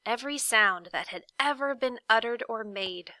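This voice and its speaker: A woman reading a children's storybook aloud as a teacher, expressive and dramatic, with swells and hushes. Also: fairly high pitch, normal speed, no room sound.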